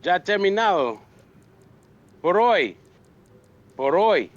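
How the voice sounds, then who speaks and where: A man's voice: high-pitched, big pitch swings, takes it slowly, highs cut off. A man in his late thirties chatting casually with a friend on stream, laid-back and profane. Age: late 30s. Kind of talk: casual chat.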